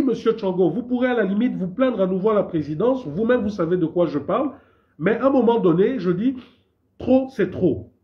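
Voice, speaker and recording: A man from Gabon, fighting a cold, speaks out as a civil society activist in an indignant, emphatic voice that swings widely in pitch and sits fairly high. He keeps stumbling and repeating words, then pushes on. The recording is dull and lo-fi. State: has a cold. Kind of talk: impassioned public statement.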